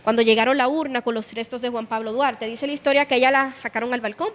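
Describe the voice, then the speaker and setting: Guía dominicana, voz aguda; explica historia en sala con eco largo. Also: female voice, on the high side, fairly even intonation, fast, a bit bright, long reverb tail. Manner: explanatory, narrates history with quoted dialogue. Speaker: museum guide. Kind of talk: guided tour explanation. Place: indoor exhibition hall.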